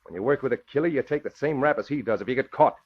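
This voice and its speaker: An actor playing a bullying, swaggering gangster killer in old radio drama style, talking in tough slang. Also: male voice, mid-range voice, very fast, breathy edge. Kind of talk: 1940s radio acting. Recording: dull vintage radio recording.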